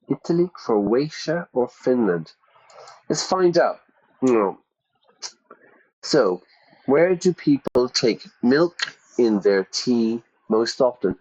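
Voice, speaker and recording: A man's voice: fairly low pitch, darkish tone, highs cut off. A man teaching English to learners, reading out quiz questions and repeating the answer options. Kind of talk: ESL quiz lesson.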